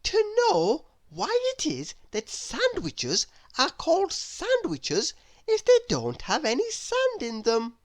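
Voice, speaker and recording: A high, swooping little girl's voice acted out by a woman, endlessly inquisitive. She is a storybook character who puts each question slowly, in a wondering sing-song. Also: wildly swooping pitch, full character voice. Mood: curious, wondering.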